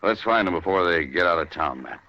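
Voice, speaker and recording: A man's voice: sits low, lively intonation, fairly quick. An American man playing a bitter, weary frontier gunman in a 1950s radio western. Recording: muffled vintage radio recording.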